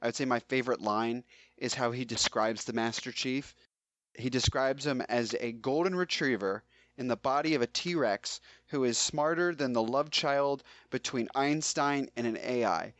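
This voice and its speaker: A man co-hosting a podcast, chatting casually in a halting, conversational way. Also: mid-range voice, a bit bright, no echo. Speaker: podcast co-host. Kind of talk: casual chat.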